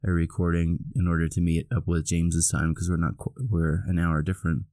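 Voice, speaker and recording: A man co-hosting a podcast in casual chat, hoarse and groggy from a cold, laughing easily. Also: low-pitched, flat delivery, a little fast, a little mellow, no room sound, clean studio sound. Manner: laughs often, easygoing. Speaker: podcast co-host.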